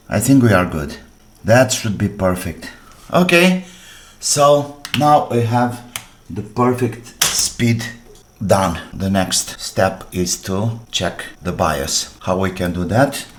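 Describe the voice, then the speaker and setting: Guy doing a diy repair demo, really slow n halting, lowish voice, bit of background noise. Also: lively intonation. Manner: halting, short phrases, thinking aloud. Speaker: DIY electronics repair presenter. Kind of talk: hands-on repair demonstration, talking while working.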